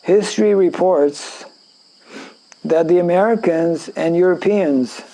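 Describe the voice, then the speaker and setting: A French Canadian man, a native French speaker, teaching a scripture class in a gravelly, dark-toned voice that sits a little high. He speaks very slowly, pausing on fillers and clearing his throat again and again as he explains the verse. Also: poor audio. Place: indoor class in a rural town.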